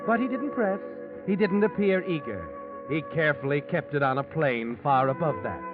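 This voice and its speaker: Tinny vintage radio sound, music behind, male actor as mysterious storyteller, insinuating, ominous, slightly high voice. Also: lively intonation, moderate pace, close to an everyday voice. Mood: sly, knowing. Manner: insinuating, second-person taunting. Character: mysterious haunting storyteller addressing the schemer, knowing and ominous. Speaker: narrator of a mystery anthology. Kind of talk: 1940s radio drama narration.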